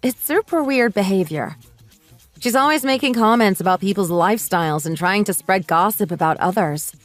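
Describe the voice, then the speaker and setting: A woman's voice: medium pitch, lively intonation, moderate pace, dry recording, faint music behind. A woman narrating a scripted first-person story.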